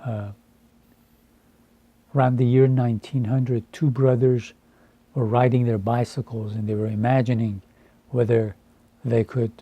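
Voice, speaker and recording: A man in his fifties from Iran, a Farsi speaker, speaking deliberately and earnestly to camera with frequent hesitations. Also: mid-range voice, little pitch movement, warm tone, breathy edge, dry recording, lo-fi sound. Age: 50s. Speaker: translator. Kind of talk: earnest personal address to camera.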